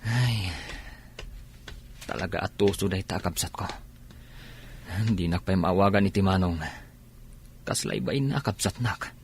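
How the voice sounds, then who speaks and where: A man playing a humble, hardworking elder brother who works as a janitor, in a Filipino radio drama, husky and with a Filipino accent. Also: deep voice, measured pace, long pauses, a little hiss or hum. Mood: reproachful.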